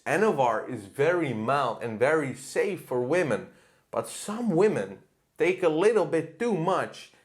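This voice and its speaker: A man who works as a fitness coach, reacting to a clip in a blunt, profane rant. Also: on the high side. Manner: blunt, profane, ranting with laughs. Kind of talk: casual reaction commentary.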